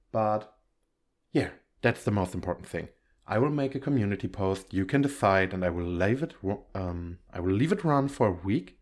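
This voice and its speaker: A man with a fairly low voice talks casually to his viewers as an audio creator. He rambles in a giggly way and often corrects himself. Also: a little mellow. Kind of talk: casual outro addressed to viewers.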